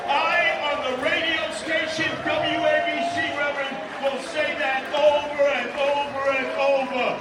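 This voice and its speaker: A man's voice: very high-pitched, bright, forceful tone. A man giving an impassioned rally speech, emphatic and repetitive, declaiming his points. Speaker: rally speaker and radio host. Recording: echoey public address from far off.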